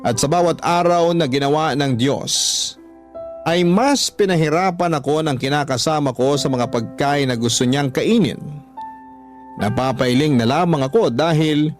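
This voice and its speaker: A man reads out a heartfelt letter in an earnest, confessional way, his pitch a little high. A soft music bed runs beneath the narration. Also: moderate pace. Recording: faint music bed behind voice.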